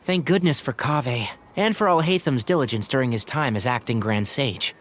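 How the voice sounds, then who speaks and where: A man's voice: mid-range voice, normal speed, a bit bright, slight background noise, close to an everyday voice. An actor playing a level-headed young male companion with a dry, teasing, practical manner. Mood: easygoing.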